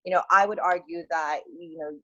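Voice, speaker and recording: A woman from Saudi Arabia, a guest in a video-call interview, talking in animated bursts with frequent laughs and fillers. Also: on the low side, lo-fi sound. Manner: animated, laughs often, talks in quick bursts with many fillers. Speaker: interview guest, author and consultancy founder.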